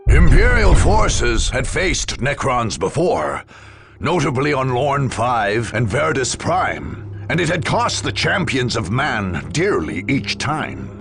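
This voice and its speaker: A solemn chronicler of grim war, narrates a game with dramatic weight. The gravelly voice moves widely in pitch, deliberate and ominous, over faint music. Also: male voice, sing-song.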